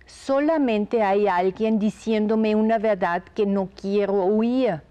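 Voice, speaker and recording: A woman with an Austrian accent, a guest on a talk show, reading a reflective passage aloud in a gentle, thoughtful way. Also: mid-range voice. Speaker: guest expert.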